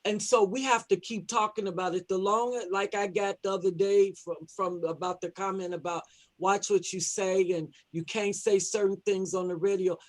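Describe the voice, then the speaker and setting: A man's voice: high-pitched, steady tone, moderate pace. A man speaking as a panelist in a plain-spoken, insistent way. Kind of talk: emphatic panel discussion.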